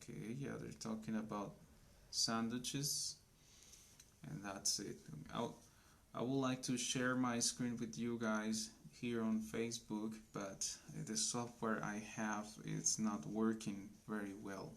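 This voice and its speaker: A man in his thirties from Colombia teaches a live English class for beginners, talking very slowly and with a gravelly rasp. He keeps his words simple and chats with viewers by name. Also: on the low side, flat delivery, fairly bright tone. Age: 30s. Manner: slow, simple speech for beginners; chatty, greets viewers by name. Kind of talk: live online English lesson.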